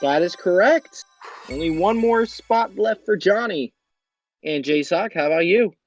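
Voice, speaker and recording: A man's voice: high voice, expressive, a little slow, no echo, soft background music. A young man hosting a fan-made quiz show, reading out questions and answer choices in an upbeat quizmaster manner.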